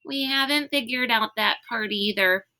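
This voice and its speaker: An earnest young storybook boy, read by a woman, with a bright voice pitched slightly over the narration. He speaks cautiously and conscientiously, as one easily discouraged. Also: normal speed. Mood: dejected.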